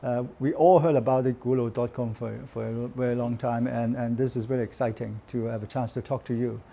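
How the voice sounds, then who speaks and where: A male interviewer with a mellow, middle-pitched voice. He introduces the episode and then puts polite questions to his guest, sometimes stumbling and restarting mid-sentence. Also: steady tone, vocal fry, a little hiss or hum. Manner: polite, slightly halting questions. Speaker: interviewer and host. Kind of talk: scripted narration and interview questions.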